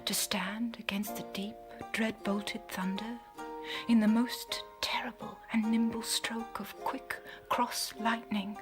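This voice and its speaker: Soft breathy young-royal heroine, fairly high pitch, tender, sorrowful, music behind, classical drama. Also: female voice. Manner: hushed, earnest, poetic. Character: tender, grieving princess, devoted daughter of a king. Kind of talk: classical audio drama acting.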